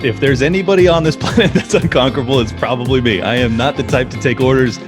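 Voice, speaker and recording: Testimonial clip: male, rapid casual delivery, prominent guitar backing. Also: mid-range voice. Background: guitar music.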